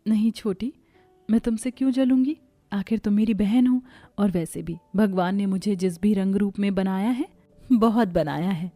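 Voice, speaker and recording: A woman voicing a gentle, humble young elder sister in a cartoon story, soft and earnest. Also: medium pitch, moderate pace, no echo, faint music behind, close to an everyday voice. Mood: hurt, gentle.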